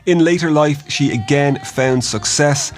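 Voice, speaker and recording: A man's voice: fairly high pitch, unhurried. A man reading a scripted obituary report as a news narrator. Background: music behind the voice-over.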